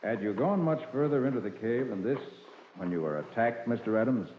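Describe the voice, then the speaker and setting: An actor playing a cool, observant private detective in a radio drama, with a matter-of-fact, questioning manner. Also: male voice, mid-range voice, expressive, fairly quick, natural voice. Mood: inquisitive. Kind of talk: 1950s radio drama acting. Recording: echoey, muffled, noisy old radio recording.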